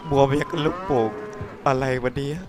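A man's voice: level tone, a little fast, background noise. A man doing improvised comedic cartoon dubbing, exclamatory and theatrical, with crude, slangy wording. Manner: theatrical, often jumps into shrieks and falsetto. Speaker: comic dubber of a cartoon.

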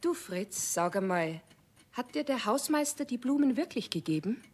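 A woman playing a brisk, strict but kindly mother in a children's radio play. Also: on the high side, expressive, husky. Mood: stern.

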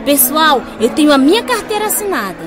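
A woman's voice: high-pitched, very animated, a little fast, over music, crowd noise. A woman with a Brazilian accent playing a friendly, grateful young niece in a radio drama. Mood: chatty, earnest.

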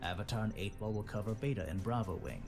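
An actor playing a stern, steady major giving a formal, measured military briefing in a space game. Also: male voice, lowish voice, close to an everyday voice. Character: stern, steady male squadron leader, a major. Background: loud music.

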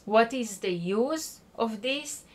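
A woman's voice: a little high, lively intonation, slow pace. Woman teaching a Bible study lesson. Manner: explanatory, spells out words, checks in with "okay?".

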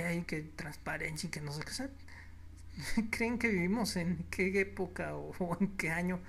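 A man's voice: sits high, fairly bright tone. A man with a Mexican accent giving an indignant, sarcastic news commentary monologue as a political blogger. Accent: Mexican Spanish. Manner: speaks to listeners as "you all".

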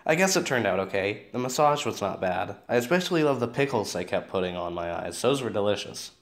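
A man's voice: fairly low pitch, lively intonation, a little fast. A man playing a dry, principled teenage boyfriend in an amateur audio drama. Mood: deadpan.

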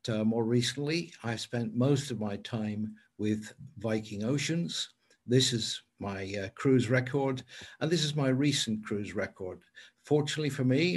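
Older male lecturer, husky and measured, webcam presentation. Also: medium pitch, darkish tone. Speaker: cruise lecturer. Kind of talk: presenting his work to camera.

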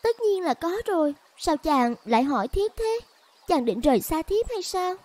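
Woman playing a high-voiced, devoted young maiden. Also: big pitch swings, normal speed, darkish tone. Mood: worried, sorrowful. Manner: earnest, pleading. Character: devoted, stubborn young village woman in love. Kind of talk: cartoon voice acting.

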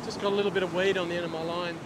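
High-voiced Australian man outdoors by the surf. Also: level tone, racing. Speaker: fishing instructor and vlogger. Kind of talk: casual instructional talk to camera. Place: open ocean beach, outdoors. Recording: outdoor wind and surf noise. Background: waves, surf and wind.

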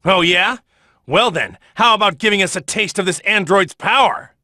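An actor playing a brave, plain-spoken martial-arts hero in an anime game, with a husky voice and an earnest, direct manner. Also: male voice, sits high, normal speed, heavy background noise, natural voice. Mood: determined, defiant. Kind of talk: English anime game voice acting.

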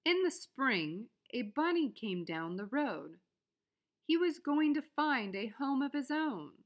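A woman narrates a picture book for young children in a fairly high voice. Her reading is very unhurried and expressive, with sing-song phrasing. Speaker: storybook reader.

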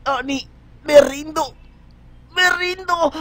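A man's voice: very high voice, slow pace, a bit bright, full character voice. A man playing a hot-headed teenage boy soldier in an amateur Latin American Spanish anime fan dub. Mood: pained pleading.

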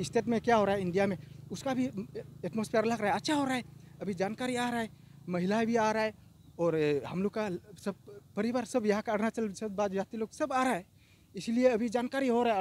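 A man's voice: high-pitched, a little fast, a little mellow, slight background noise. A middle-aged man from Arunachal Pradesh, earnestly answering a street interview outdoors by a river into a handheld mic. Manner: earnest, repetitive, appealing. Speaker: vox pop interviewee.

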